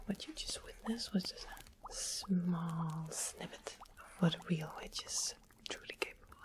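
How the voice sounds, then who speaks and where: A woman playing a sweet, mysterious witch shopkeeper in a whispered ASMR fantasy roleplay, breathy, deliberate and coaxing. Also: fairly low pitch, low-quality recording. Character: sweet-smiling, secretly menacing witch shopkeeper, soothing and mysterious.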